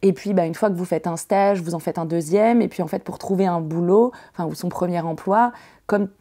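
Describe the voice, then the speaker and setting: A woman's voice: on the low side, fairly quick, warm tone. A woman from northern France in her thirties, chatting as a podcast guest, hesitant, with many fillers and restarts. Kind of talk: casual interview chat.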